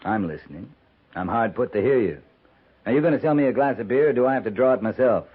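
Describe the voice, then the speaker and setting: A man's voice: medium pitch, animated, fast talker, slightly rough. A man playing a plain-spoken, patient cavalry captain in a 1950s western radio drama, dry and matter-of-fact, with an American accent. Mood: dry, puzzled. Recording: muffled vintage 1950s radio recording.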